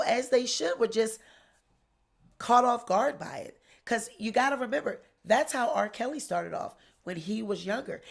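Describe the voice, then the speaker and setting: A middle-aged woman with a nasal voice, hosting a live celebrity gossip stream in a sassy, animated way, recorded on a studio mic in a small studio. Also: a little high, creaky. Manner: sassy, animated, swearing and laughing. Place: small studio with blue backdrop. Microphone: large studio mic on boom arm.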